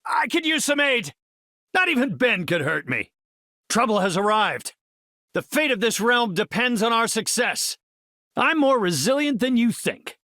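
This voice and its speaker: An actor playing a brilliant, composed superhero scientist in a video game, with articulate, earnest, scholarly delivery. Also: male voice, extremely high pitch, big pitch swings, measured pace, bright, forceful tone, dry recording, clean studio sound, heavily acted. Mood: urgent, then confident, determined. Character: brilliant, composed male superhero scientist leading his team. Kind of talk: video game character voice lines.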